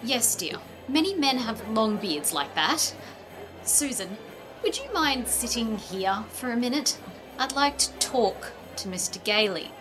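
Woman acting firm, high-voiced practical mother. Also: very animated, music in the background. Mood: brisk. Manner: firm, brisk, proper. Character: practical, strict mother and store executive, no fantasy. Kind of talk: old-time radio play acting.

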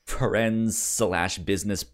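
A man hosting a podcast, chatting casually about a film in a halting, stammering way that often repeats words. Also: fairly low pitch, fairly even intonation. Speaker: podcast host.

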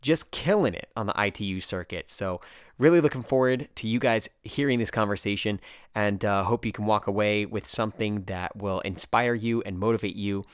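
A man's voice: lowish voice, normal speed, clear, resonant tone, no room sound, clean studio sound. An American man hosting a podcast, chatty and enthusiastic, with fillers and digressions. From United States. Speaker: podcast host. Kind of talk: casual podcast chat.

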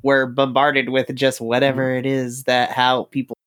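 A man's voice: medium pitch, completely flat delivery, moderate pace, a bit bright. A man hosting a casual podcast chat, asking questions in a self-deprecating way and laughing often.

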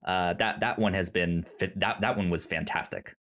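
A man's voice: low-pitched, fairly even intonation, moderate pace, a bit bright. A man co-hosting a podcast in casual chat, often stammering and repeating the first sounds of his words. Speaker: podcast co-host.

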